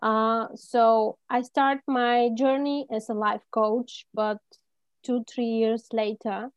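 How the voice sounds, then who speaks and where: A woman with a Bulgarian accent answering interview questions haltingly, in non-native English phrasing. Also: a little high, little pitch movement. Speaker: interview guest, life coach. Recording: muffled, echoey room sound.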